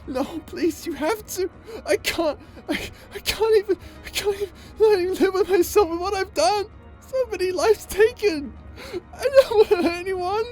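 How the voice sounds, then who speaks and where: A woman's voice: extremely high pitch, lively intonation, moderate pace, a little mellow, faint music behind. A woman voicing a tormented, possessed young woman who sobs, gasps and pleads to be killed. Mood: desperate pleading. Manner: pleading, breathless sobbing. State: crying. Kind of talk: dramatic voice acting.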